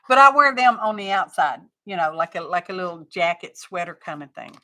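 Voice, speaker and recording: Slow-talking, bright-voiced middle-aged woman. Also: lowish voice. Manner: chatty, rambling, talks to chat viewers. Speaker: craft live-stream host. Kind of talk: casual chat while crafting on a live stream.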